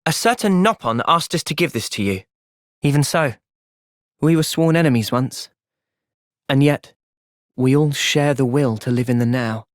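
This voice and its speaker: A young man acting an earnest, thoughtful soldier hero in a video game cutscene, speaking in a measured, sincere way. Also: medium pitch, dry recording, clean studio sound, close to an everyday voice. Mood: earnest, reflective.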